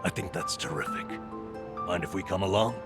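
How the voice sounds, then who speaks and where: An actor playing a brash, eager, friendly sidekick in a game cutscene, casual and chummy. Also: male voice, low-pitched, fairly quick, loud music behind the voice, close to an everyday voice. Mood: friendly, curious. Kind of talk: video game cutscene acting.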